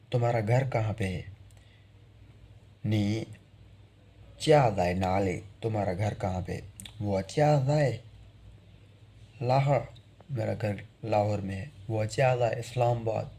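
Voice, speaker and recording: A man giving an online language lesson as a teacher, slowly repeating each phrase so learners can drill it. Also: fairly low pitch, creaky, darkish tone.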